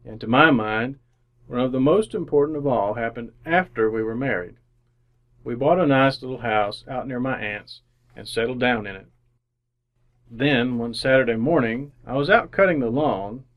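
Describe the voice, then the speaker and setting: A man's voice: medium pitch, moderate pace, dull audio, lightly acted. A man acting in an old-time style radio drama as a bashful, folksy young married man who stammers and hesitates. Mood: wry reminiscing.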